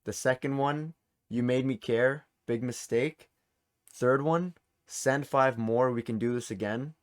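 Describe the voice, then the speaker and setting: A man's voice: medium pitch, measured pace. A man hosting a video game trivia quiz in casual chat, informally reading questions and answer options aloud. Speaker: quiz host.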